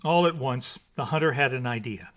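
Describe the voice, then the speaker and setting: A man reading a bedtime story as scripted narration, in an expressive, theatrical and deliberate manner. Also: medium pitch. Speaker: storybook reader.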